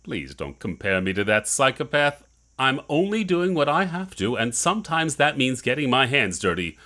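An actor playing a ruthless, self-justifying villain in an audio horror drama, scornful and theatrical. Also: male voice, medium pitch, big pitch swings, moderate pace, sharp tone. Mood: cold resolve.

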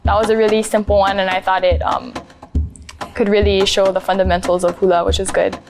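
A 22-year-old woman from Hawaii introducing herself in a relaxed, hesitant interview. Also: mid-range voice, fairly quick. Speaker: contestant. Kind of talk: casual interview. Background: music.

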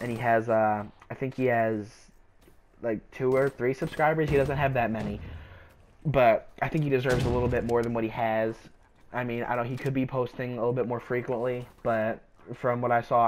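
A young man with a sore, scratchy throat, rambling casually with lots of fillers while he plays a game. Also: medium pitch. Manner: rambling, hesitant, filler-heavy. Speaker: gaming YouTuber. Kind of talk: casual gameplay commentary.

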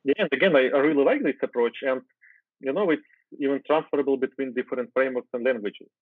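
A man's voice: medium pitch, fast talker, no room sound. A man whose first language is Ukrainian, in his thirties, walking through his code on a video call, thinking aloud with fillers and restarts. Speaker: guest software developer. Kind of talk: casual screen-share coding chat. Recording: tinny phone-like video call audio.

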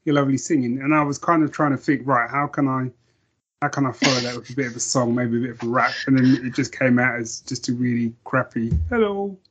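A man with a husky, slightly muffled voice chats easily on a podcast. He rambles in a relaxed, self-deprecating way. The sound is a little dull. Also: mid-range voice, fast. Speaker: podcast co-host. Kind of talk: casual chat.

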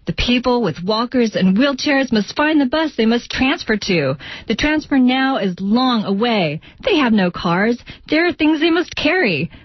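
Husky, worried working-class bus rider, a woman acting. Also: a little high, no echo. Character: worried working-poor bus rider and parent, pleading for others. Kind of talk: readers theater monologue. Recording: dull, dry lo-fi radio recording.

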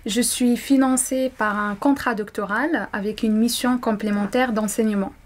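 A woman's voice: fairly high pitch, steady tone, normal speed. A young woman whose first language is Arabic, a doctoral student answering interview questions to camera, hesitant, with many fillers and restarts. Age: young adult. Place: indoor room.

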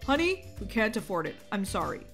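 Woman playing an excitable young husband, very animated. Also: mid-range voice, brisk, faint music behind. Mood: apologetic. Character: excitable, money-worried young husband, a new cop. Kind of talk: acted game roleplay story.

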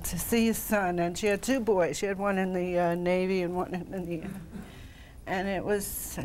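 A woman in her sixties with a slightly low voice shares memories as a TV guest. There is faint noise behind her. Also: a little mellow. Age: 60s. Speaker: interview guest. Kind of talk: reminiscing in a TV interview. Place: television studio. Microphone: lapel mic.

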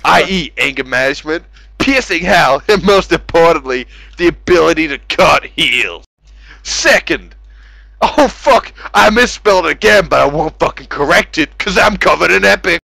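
A man's voice: fairly high pitch, expressive, normal speed, gravelly, bright, forceful tone, dry recording, low-quality recording. A man doing a sarcastic, exasperated, profane dramatic reading of forum posts, exaggerating as he goes. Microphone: close mic on gaming voice chat.

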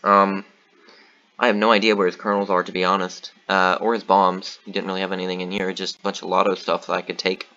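A man's voice: low-pitched, fast talker, muffled recording. A man giving casual commentary on his own board game match, thinking aloud with lots of ums and uhs. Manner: thinking aloud, relaxed, many fillers.

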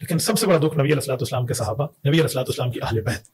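A man's voice: medium pitch, rapid-fire, darkish tone, a little hiss or hum. A man in his thirties with a Pakistani accent, talking insistently and argumentatively on a podcast. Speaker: podcast guest, mufti. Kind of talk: podcast conversation. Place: indoor podcast studio. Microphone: close boom-arm podcast mic.

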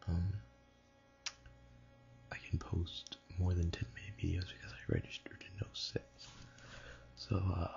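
A man whispering a casual late-night tech vlog in a halting way, as if thinking aloud. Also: deep voice, gravelly, warm tone, dull audio. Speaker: amateur tech vlogger.

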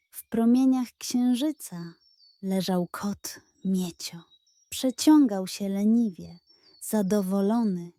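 A woman narrating a scripted children's tale in an expressive, unhurried storybook manner. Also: medium pitch, no echo.

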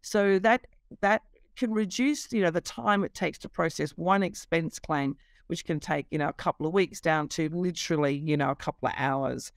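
A woman's voice: sits low, normal speed, creaky, dry recording, studio quality. A middle-aged woman, a company CEO, explaining her business over a video call, fluent but with many fillers. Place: office.

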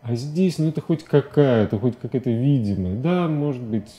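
A man in his forties talking as a guest in a studio interview, halting and repeating words as he searches for phrasing. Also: mid-range voice, expressive, warm tone. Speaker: interview guest, animation director. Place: TV studio at a desk. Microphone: lapel mic.

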